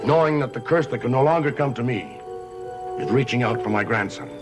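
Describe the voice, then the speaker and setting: A man acts out an aged, secretive grandfather from a gothic television soap. His mid-pitched voice is theatrical and portentous, each phrase measured, with clear dramatic music playing behind him. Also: lightly acted. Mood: grave, brooding. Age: older. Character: cryptic, haunted old grandfather with secrets.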